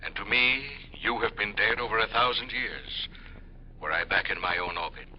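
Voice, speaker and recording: A muffled, noisy 1950s radio recording carries an actor playing an earnest man from the distant future. His low voice moves expressively as he speaks in a formal, deliberate, reassuring way, in period radio drama style. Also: close to an everyday voice. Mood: solemn, mysterious.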